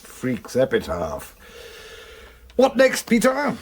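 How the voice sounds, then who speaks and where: A man's voice: medium pitch, huge pitch swings, slow, husky, heavily acted. An actor playing a detective's companionable colleague in a radio drama, dry and familiar in his manner. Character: companionable Englishman, detective's trusted male colleague.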